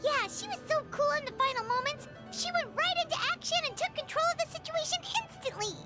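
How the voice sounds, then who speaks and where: A woman voicing a tiny excitable fairy companion in a video game, bubbly and chatty. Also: very high voice, quick pace. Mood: admiring. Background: soft game music.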